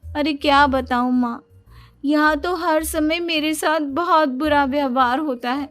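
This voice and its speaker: A woman's voice: sits high, fairly even intonation, a little slow, fairly bright tone. A woman voicing a self-pitying young daughter-in-law in a cartoon moral story, with a whiny, dramatic, aggrieved manner.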